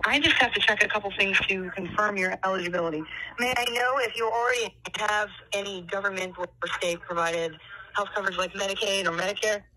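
A woman's voice: mid-range voice, fairly even intonation, brisk, sharp tone. A woman telemarketer making a scripted sales call, polite and formulaic. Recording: noisy telephone call.

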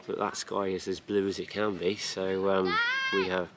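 A man in his thirties talking casually to a handheld camera while camping, relaxed and chatty with plenty of ums. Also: deep voice, normal speed. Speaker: family vlogger. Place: outdoors on a campsite field. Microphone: camera at arm's length. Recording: noisy outdoor recording.

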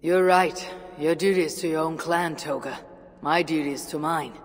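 A woman playing a resolute, pragmatic Viking warrior leader with a low, grave, determined voice. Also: normal speed, slightly rough. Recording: long hall reverb.

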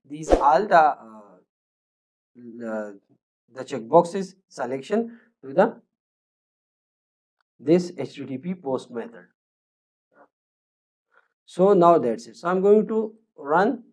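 A man, talking through a screen-recorded coding tutorial in a halting way, with many fillers and restarts as he thinks aloud. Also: fairly high pitch, low-quality recording. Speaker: programming tutor.

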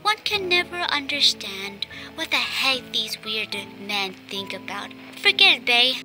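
Woman playing a high, sassy young female friend. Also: very animated, measured pace. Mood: exasperated, teasing. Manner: sassy, colloquial. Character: brash, gossipy young woman friend, cheeky and exasperated. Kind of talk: radio play character dialogue. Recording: music playing behind. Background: clear background music.

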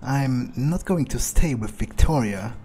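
A man with a mellow, relaxed voice at middle pitch narrates a game in character. He thinks aloud, aloof and often swearing, close to the mic over faint music. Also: a little slow. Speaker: gamer roleplaying his character. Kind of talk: in-character video game commentary, musing aloud.

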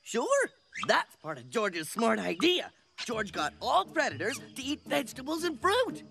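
A man's voice: very high voice, sing-song, unhurried, vocal fry, exaggerated voice. A man voicing a friendly, dim-witted and naively confident young jungle man in exaggerated cartoon style, talking in broken grammar. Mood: proud. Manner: speaks of himself in third person, broken grammar. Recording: cartoon music underneath.

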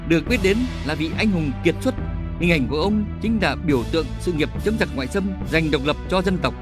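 A man's voice: fairly high pitch, a little fast, music in the background. A man delivering scripted historical narration in a formal, declarative manner.

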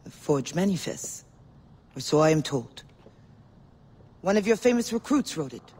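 An actress playing a proud, cautious, world-weary informant in a video game, with a husky, breathy voice, measured and weighty. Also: female voice, low voice, very animated, a little mellow, in a large hall, heavily acted. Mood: wary. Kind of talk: dramatic video game acting.

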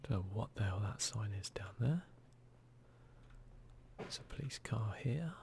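A man doing hushed, murmured ASMR commentary over a video game, talking to himself close to the microphone. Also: fairly low pitch, expressive, measured pace, gravelly, slight background noise. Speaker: gaming video host.

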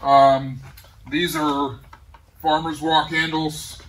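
A middle-aged man casually showing off his garage gym, talking in a relaxed, rambling way with many pauses. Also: a little high, recorded from a distance, rough recording. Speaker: home gym owner giving a tour. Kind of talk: casual walk-through tour. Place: large garage home gym.

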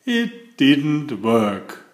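Older male storyteller, animated pitch, expressive read-aloud delivery, slightly echoey room. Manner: slow, deliberate, expressive phrasing. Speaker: children's book reader and host.

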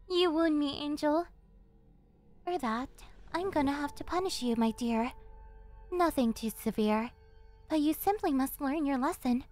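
Very high-voiced, possessive yandere girlfriend. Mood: wounded, feigned sweetness, then quietly threatening. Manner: cloying, coaxing, with breathy giggles and gasps. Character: possessive, obsessive young yandere girlfriend, sweetly menacing captor. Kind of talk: ASMR roleplay monologue.

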